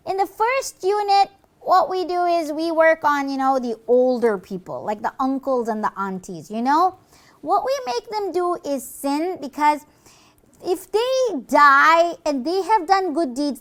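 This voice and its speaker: A woman's voice: very high voice, measured pace, fairly bright tone, voice pushed up. A woman voicing a boastful, gleeful demon henchman in a puppet show, chatty and theatrical as the demon gloats. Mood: boastful, scheming.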